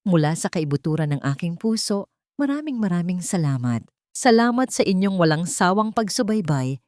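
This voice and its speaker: Low-voiced woman narrating romantic drama. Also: sing-song, moderate pace, a little mellow, no echo, studio quality. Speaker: story narrator.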